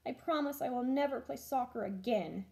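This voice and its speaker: A woman's voice: high-pitched, normal speed, creaky. A woman voicing a sheepish young boy rabbit in a children's story, rueful and sorry for himself. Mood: remorseful. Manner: rueful, hushed at times.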